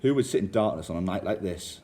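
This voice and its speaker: A man's voice: low voice, animated, quick pace, warm tone. A man playing a nervous, suspicious young brother in an amateur radio drama. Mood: uneasy, reluctant.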